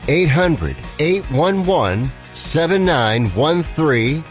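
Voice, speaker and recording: Male ad announcer, very measured, animated pitch, light music behind. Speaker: commercial announcer. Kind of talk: scripted ad phone-number read.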